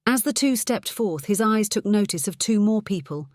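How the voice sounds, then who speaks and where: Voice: mid-range voice, expressive, moderate pace, dry recording, studio quality. An audiobook narrator reading a scripted story.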